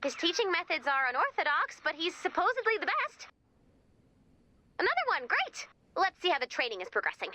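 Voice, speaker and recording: A woman playing a perky, excitable robot who gushes like a nerdy music superfan in a video game. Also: very high-pitched, brisk, no echo, heavily acted. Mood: upbeat. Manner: gushing, quippy, theatrical. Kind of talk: video game character dialogue.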